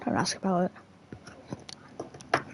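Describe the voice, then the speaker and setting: A woman's voice: drawn-out words, rough recording. A woman giving casual, rambling gameplay commentary in an offhand way. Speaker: gamer playing through a quest. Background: faint game music.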